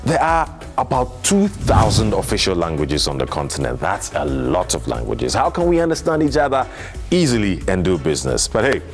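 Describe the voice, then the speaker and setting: A man hosting a lively debate show, talking in a provocative, challenging manner. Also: low voice, moderate pace, soft background music.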